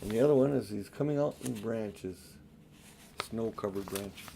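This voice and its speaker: An older man reads a children's storybook aloud. He has a mid-pitched voice and narrates as an audiobook reader would. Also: normal speed, vocal fry, background noise.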